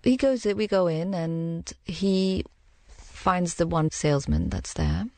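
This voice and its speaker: A woman who grew up in the Middle East, giving a reflective, measured personal account in an interview and pausing to find her words. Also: lowish voice, sing-song, mellow, no room sound.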